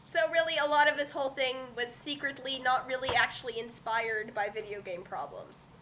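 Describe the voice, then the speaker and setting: A woman's voice: high voice, fairly quick, background noise. A woman on an online group call, hosting a dramatic fanfic reading that mixes theatrical delivery with casual chat.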